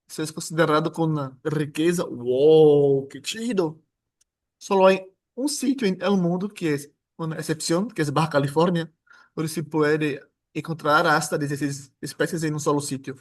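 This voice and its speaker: A man from Brazil, a native Portuguese speaker with a Brazilian Portuguese accent, giving an academic seminar in an informal, joking way with frequent restarts. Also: fairly high pitch. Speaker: guest lecturer.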